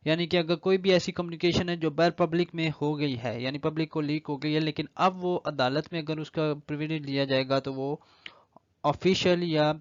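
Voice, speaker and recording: A man with a Pakistani accent teaches in a slightly high-pitched voice. He lectures in a deliberate, explanatory way, moving between reading formal clauses and explaining them. The recording is very dry, with a somewhat dull, lo-fi sound. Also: smooth, dry recording. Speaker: law lecturer.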